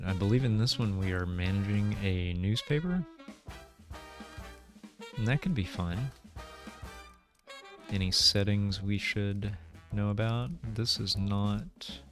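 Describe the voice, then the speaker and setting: A man giving casual gameplay commentary, thinking aloud in a hesitant, musing way. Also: on the low side. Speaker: video game player and commentator. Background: music.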